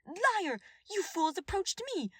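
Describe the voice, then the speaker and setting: A woman voicing a frazzled, venomous female royal advisor who shouts accusations in an audiobook drama. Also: very high voice, very animated, slow, dry recording, studio quality, voice pushed up, heavily acted. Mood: furious, desperate.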